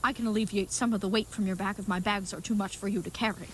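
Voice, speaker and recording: A woman's voice: mid-range voice, fairly even intonation, quick pace, a bit bright, close to an everyday voice. A woman playing a grandiose, dim-witted chivalrous knight, noisy ambience. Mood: fond, chatty. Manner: grandiose, florid, mock-archaic phrasing. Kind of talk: comedic audio drama acting.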